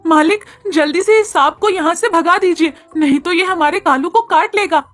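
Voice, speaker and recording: A woman voicing a gentle, friendly young cow in a cartoon story, with a pleading, coaxing manner. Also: very high voice, normal speed, faint music behind. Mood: panicked.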